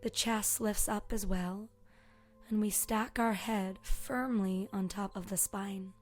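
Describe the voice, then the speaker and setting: A woman leading a guided meditation as a yoga teacher, speaking softly and soothingly with long pauses and a voice that can trail toward a breathy whisper. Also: medium pitch, steady tone. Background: soft meditation music with singing bowl tones.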